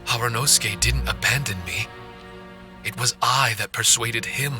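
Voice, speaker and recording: A man's voice: medium pitch, music in the background, close to an everyday voice. A man voicing a loyal, sorrowful spirit who speaks formally and earnestly in a game cutscene. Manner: formal, measured storytelling. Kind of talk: dramatic game cutscene monologue.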